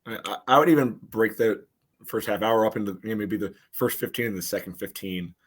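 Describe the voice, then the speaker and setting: Lowish-voiced man talking sports casually. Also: fairly quick, breathy edge. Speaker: podcast panelist. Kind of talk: casual sports analysis chat.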